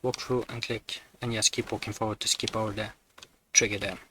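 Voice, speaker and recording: Guy doing matter of fact game glitch commentary, bright sharp voice, bit of noise in the recording. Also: on the low side, flat delivery, fairly quick. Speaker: gamer presenting glitches. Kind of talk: explanatory gameplay commentary.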